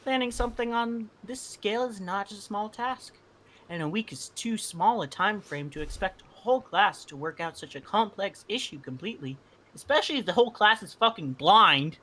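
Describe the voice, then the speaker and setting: A woman's voice: mid-range voice, animated, moderate pace, sharp tone, rough recording. A woman playing a stern, exasperated student council girl scolding a classmate in formal visual novel dialogue. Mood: haughty, exasperated.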